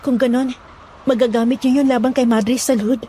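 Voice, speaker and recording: A woman's voice: fairly high pitch, fairly even intonation, moderate pace, mellow, a little hiss or hum, natural voice. A woman playing a wary young village woman who escaped captivity, in a horror audio drama.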